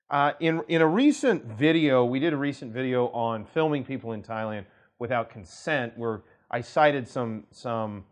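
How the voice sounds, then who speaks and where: A man, a law firm director, explaining legal concepts to camera in a conversational way, with frequent restarts and asides. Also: mid-range voice. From United States.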